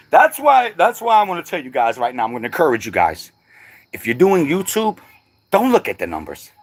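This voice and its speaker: A man's voice: a little high, a bit bright. A middle-aged man chatting casually on a livestream, laid-back and slangy, laughing often. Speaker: livestream host.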